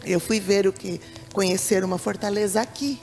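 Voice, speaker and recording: A Brazilian woman with a Brazilian Portuguese accent talks in a halting, thoughtful way, repeating words as she gathers her thoughts. Her voice carries a gravelly fry, and a long reverb trails it while faint music plays underneath. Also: medium pitch, fairly even intonation, a little mellow. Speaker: deaconess. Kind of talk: church talk show conversation.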